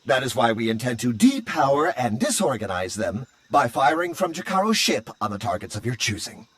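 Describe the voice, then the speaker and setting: An actor voicing a fussy, excitable, talkative droid in a video game, prim and formal in his speech. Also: medium pitch, brisk, husky, no room sound. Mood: earnest. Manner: prim, exclamatory, formal diction.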